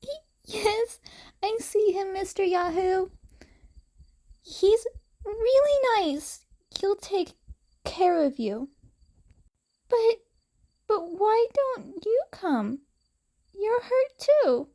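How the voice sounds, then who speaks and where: Small girl for story audio, acted by a woman: very high, timid, sweet, halting delivery. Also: lively intonation, dark tone, exaggerated voice. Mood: sweet, worried. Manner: hesitant, stammering.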